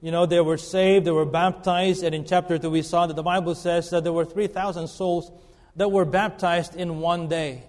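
Preacher in a reverberant church. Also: male voice, a little high, level tone, darkish tone. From Philippines. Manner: earnest, halting with fillers and restarts. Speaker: guest preacher. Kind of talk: sermon. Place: church sanctuary. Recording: long reverb of a church hall.

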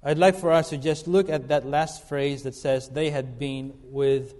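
A middle-aged man from the Philippines, preaching a sermon in an earnest, halting way full of fillers and restarts. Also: medium pitch, fairly even intonation, darkish tone. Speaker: guest preacher. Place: church sanctuary. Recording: long reverb of a church hall.

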